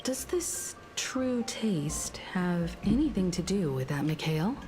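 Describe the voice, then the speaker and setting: A composed, perceptive woman in a story game. She speaks slowly in a slightly low register, with clear music playing behind her. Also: expressive. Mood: thoughtful. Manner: composed, deliberate, analytical. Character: composed, perceptive adult woman travelling companion. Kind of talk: video game story voice acting.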